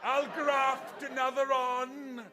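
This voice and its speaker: A man playing a servile, bumbling gothic henchman in improvised comedy, with drawn-out exaggerated delivery. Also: very high voice, completely flat delivery, over music. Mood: eager, servile. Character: servile, bumbling hunchback-style vampire's henchman. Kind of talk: improvised comic stage acting.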